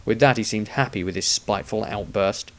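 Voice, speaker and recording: A man's voice: lowish voice, fairly quick. A man reading his own fantasy story aloud as a scripted, dramatic narration.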